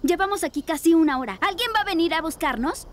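A woman's voice: very high voice, lively intonation, quick pace, background noise. A woman voicing a sarcastic, impatient young girl in a cartoon, dry and bossy. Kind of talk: animated cartoon acting. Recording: music behind the voice.

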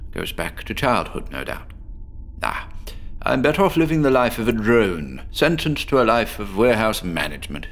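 A man's voice: medium pitch, vocal fry, fairly bright tone, exaggerated voice. A man playing a chatty male patient in a horror audio drama, rambling and chuckling through his story. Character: chatty, apple-obsessed middle-aged male patient.

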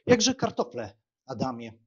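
A man playing a cheerful, talkative young gentleman who teases with playful irony in an audiobook. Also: medium pitch, very animated, a little slow, slightly rough, heavily acted. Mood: cheerful banter. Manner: bantering, ironic. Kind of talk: dramatized audiobook reading. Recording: slightly dull, muffled sound.

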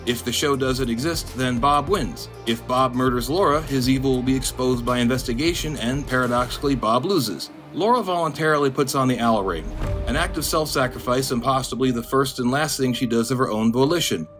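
A man narrating a scripted video essay in a dry, monotone, deadpan way. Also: medium pitch. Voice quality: monotone delivery. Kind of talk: scripted commentary.

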